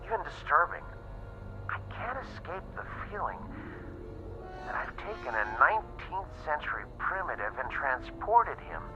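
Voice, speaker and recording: An actor playing a thoughtful, troubled scientist in an old television drama, giving a measured, literate, foreboding monologue. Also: male voice, mid-range voice, highs cut off. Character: uneasy, thoughtful scientist privately noting his misgivings.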